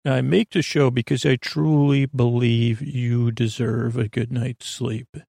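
A man with a creaky voice hosting a bedtime podcast in a gentle, meandering conversational way, reassuring and often interrupting himself with tangents. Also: medium pitch, level tone, measured pace, no echo, studio quality. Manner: meandering, hesitant, full of tangents and self-interruptions. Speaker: podcast host.

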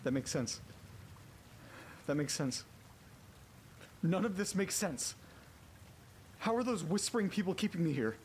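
A man playing a frightened character trapped in a haunted house, muttering to himself haltingly and swearing in a horror audio drama. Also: high voice, animated, a little mellow, slight background noise. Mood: panicked, frustrated.

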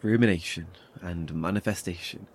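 An actor playing a weary, anxious survivor in a horror audio drama, speaking haltingly with sighs and nervous chuckles. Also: male voice, sits low. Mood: subdued, confessional. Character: weary, anxious male survivor, wry and haunted.

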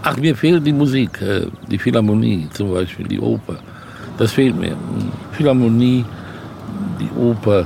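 A man's voice: medium pitch, expressive, normal speed, gravelly. An older man, an author being interviewed, talking in an easygoing way and murmuring agreement. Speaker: guest author. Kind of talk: casual conversation. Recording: background vehicle noise.

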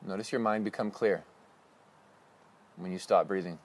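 Calm low-voiced man guiding meditation. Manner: slow, calm, instructive cues. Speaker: meditation guide. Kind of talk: guided breathing meditation.

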